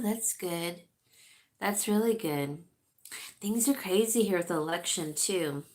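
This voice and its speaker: A middle-aged woman from California hosting a casual livestream, chatty and rambling, a bit congested and sniffling. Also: on the low side. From California, USA. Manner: rambling, chatty, reads viewer comments aloud. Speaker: livestream host chatting with viewers.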